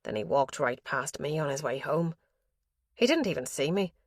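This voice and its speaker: A woman narrator gives voice to an elderly father confiding in his diary, his anxious, guilt-ridden words coming out low and plain. The recording is dry and close, with no room around it. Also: animated, moderate pace, no echo.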